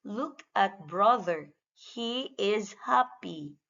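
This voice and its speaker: A woman narrating a scripted English lesson for young children, reading short simple phrases in a deliberate, instructional way for repetition. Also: mid-range voice, expressive, gravelly, a bit bright. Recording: dull, lo-fi sound.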